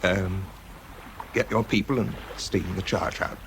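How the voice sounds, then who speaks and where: British male as a worn-out, introspective wartime expert; very low voice, understated radio drama delivery, noisy recording. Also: fairly even intonation, unhurried, a bit bright. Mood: tense, exhausted. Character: exhausted, self-doubting man, a wartime bomb disposal expert. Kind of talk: British radio drama, first-person narration and dialogue.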